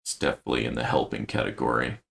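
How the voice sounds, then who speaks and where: A man giving casual gameplay commentary on a stream, thinking aloud in a low-key, musing way with a gravelly voice. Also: flat delivery, rapid-fire, fairly bright tone. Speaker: game streamer.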